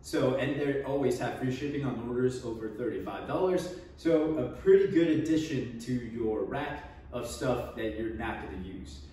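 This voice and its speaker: A man's voice: mid-range voice, moderate pace, few pauses, warm tone. Twenties male fitness vlogger, offhand and jokey, recorded at a distance in a reverberant garage. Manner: joking, offhand, trails off with small laughs. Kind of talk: casual equipment review. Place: home garage gym. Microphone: camera across the room. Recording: echoey garage, voice off-mic.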